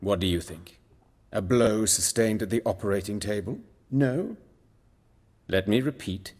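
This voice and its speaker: An actor playing an erudite, steely surgeon in a video game, speaking formally and precisely in a husky low voice. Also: male voice, animated, a little slow, darkish tone, in a large hall. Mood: assertive, cool. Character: composed, erudite male surgeon with steely resolve.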